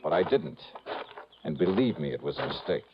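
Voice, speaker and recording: An American actor playing a wry, hard-boiled investigator, narrating in a clipped, dramatic 1950s noir radio style. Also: male voice, a bass voice, big pitch swings, moderate pace, background noise. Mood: tense, wry. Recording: muffled old radio recording, music underneath.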